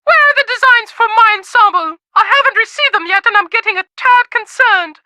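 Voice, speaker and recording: A woman's voice: very high voice, expressive, brisk, pushed and bright, no echo, exaggerated voice. An actress voicing a haughty, anxious rich lady with a fussy, theatrical manner in a video game. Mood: fretful, imperious. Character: haughty, anxious rich lady chasing her fashion designs.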